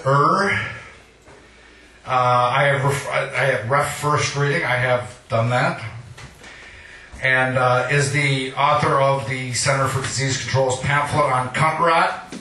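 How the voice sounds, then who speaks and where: A man's voice: medium pitch, fairly even intonation, takes it slowly, bright, forceful tone, slight background noise. A man hosting a live poetry night, giving an informal, joking introduction with mock-formal flourishes. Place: live poetry reading at a cafe. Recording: echoey room, distant microphone.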